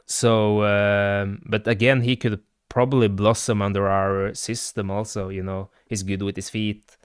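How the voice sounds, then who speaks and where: A man's voice: lowish voice, little pitch movement, measured pace, clear, resonant tone, no room sound, studio quality. A man with a Norwegian accent hosting a live stream, chatting loosely about his football club with lots of fillers and replies to chat. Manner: rambling with many fillers, answers live chat. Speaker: livestream host and football fan.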